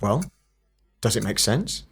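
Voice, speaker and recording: A man acting in an audio drama as a terse, analytical investigator of time fractures, with a clipped, deliberate delivery. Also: fairly low pitch, big pitch swings, creaky, fairly bright tone, slightly rough, full character voice. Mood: brooding, analytical.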